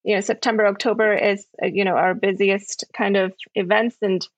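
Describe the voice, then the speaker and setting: A woman's voice: mid-range voice, level tone, no echo. Cheerful woman on dull, dry remote audio. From Peru. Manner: cheerful, laughing, halting with many fillers. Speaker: employee resource group site lead.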